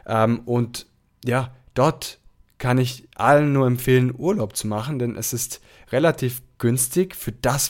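A man's voice: mid-range voice, a little mellow. Chatty man rambling at length. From Apulia, Italy. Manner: long, rambling answers with many fillers. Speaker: podcast host. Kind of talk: casual conversation.

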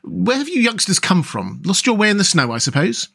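A man voicing a genial, hospitable gentleman rat with kindly, informal talk in a storybook reading. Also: high voice, huge pitch swings, fairly quick, creaky, slightly rough, voice pushed up, full character voice. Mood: pleasant.

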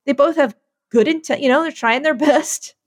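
A woman co-hosts a casual podcast, joking and laughing often. Her voice sits a little high, and the recording is dry and close. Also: moderate pace, dry recording. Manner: playful, laughs often, jokey asides. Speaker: podcast co-host. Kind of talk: casual chat.

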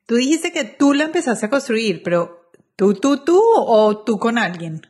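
A woman interviewer with a somewhat high voice puts in a short follow-up question. She keeps her turns brief and mostly nods along with small sounds of agreement. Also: animated, a little slow, a little mellow.

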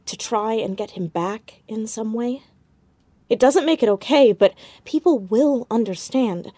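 A woman's voice: mid-range voice, mellow, dry recording. A woman playing an anxious, determined teenage girl sleuth in an audio drama, speaking hesitantly but insistently. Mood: sympathetic. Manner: hesitant, stammering yet insistent. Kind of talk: naturalistic audio drama acting.

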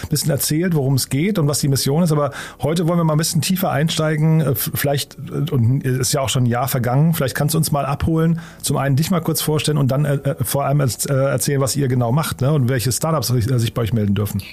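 A man's voice: mid-range voice, fairly even intonation, fast, few pauses, a little mellow. A man hosting an interview, chatty, asking questions with many fillers. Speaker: podcast host. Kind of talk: casual interview talk.

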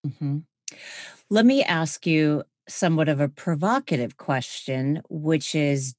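A woman hosts an interview in a very low, unhurried voice, recorded dry and close. She asks probing questions in a measured, affirming way. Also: darkish tone, no room sound. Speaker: podcast host.

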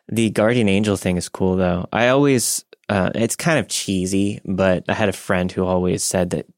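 Low-voiced man, dry close sound. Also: steady tone, normal speed, dry recording. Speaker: podcast co-host. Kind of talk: casual reflective chat, reading listener stories.

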